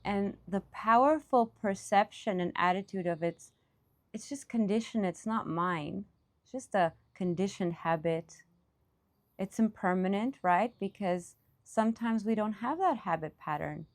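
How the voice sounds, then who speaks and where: A woman with a mid-range voice guides a meditation. She talks off the cuff as a teacher would, in reflective phrases. Her delivery halts and pauses as she goes. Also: mid-range voice.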